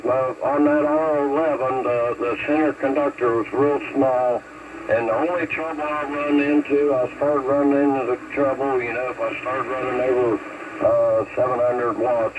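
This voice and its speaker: A man's voice: medium pitch, fairly even intonation, measured pace. A folksy, plain-spoken man from West Virginia chatting casually over a noisy CB radio link. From West Virginia, USA. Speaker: CB radio caller and hobbyist. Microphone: radio transceiver microphone. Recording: muffled, very noisy distant CB radio transmission.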